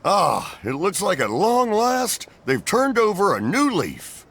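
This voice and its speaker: Game NPC: kindly, obliging shopkeeper fellow, high-pitched, hugely animated delivery. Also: male voice, slow pace, breathy edge, heavily acted. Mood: genial. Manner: obliging, jovial. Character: genial, forgiving male shopkeeper. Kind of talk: anime-style game dialogue.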